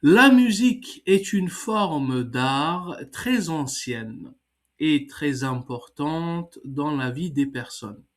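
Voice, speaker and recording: A man with a cold, slowly reading a text for learners and then explaining exercises in English with many hesitations. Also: on the high side. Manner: slow, careful reading with hesitant fillers. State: has a cold. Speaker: French teacher.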